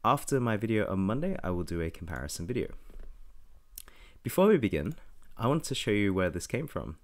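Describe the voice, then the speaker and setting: A young man, a little raspy from being sick, walking through a software tutorial in a casual, self-correcting way. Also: fairly low pitch, sing-song, fairly quick. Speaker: tutorial host. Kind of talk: screen-recorded software tutorial.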